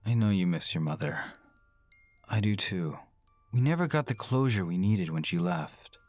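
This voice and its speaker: A woman playing a gentle, earnest father in a horror radio drama. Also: a bass voice, lively intonation, moderate pace, dry recording, soft background music. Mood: tender, weary. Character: gentle, protective father pleading with his daughter.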